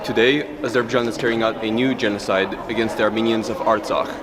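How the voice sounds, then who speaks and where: A man's voice: on the low side, steady tone, fast, a crowd in the background. A man presenting a solemn, declarative appeal outdoors at a memorial. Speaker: rally presenter. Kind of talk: scripted appeal to camera.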